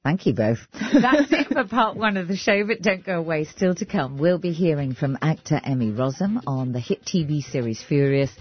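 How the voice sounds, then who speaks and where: A woman hosting a radio arts show, easygoing and quick to laugh with her studio guests. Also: sits low, animated, husky. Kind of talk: radio presenting and studio chat. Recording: dry, dull lo-fi studio audio.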